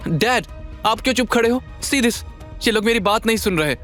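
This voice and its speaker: A man voicing a rude, furious, spoiled teenage French girl in an audio drama, shouting with entitlement over music. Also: high-pitched, expressive, moderate pace, voice pushed up. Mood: whining, demanding.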